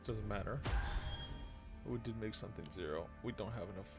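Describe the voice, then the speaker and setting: A man's voice: lowish voice, brisk. A man in his thirties giving casual let's play commentary, thinking aloud in muttered fragments from a small room at home. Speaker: video game streamer. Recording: loud game music behind voice. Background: video game music.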